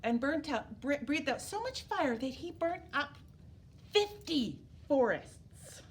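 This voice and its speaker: A woman reading a picture book aloud to young children as a storyteller, animated and emphatic, drawing words out for drama. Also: high voice, slow. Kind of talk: expressive children's read-aloud.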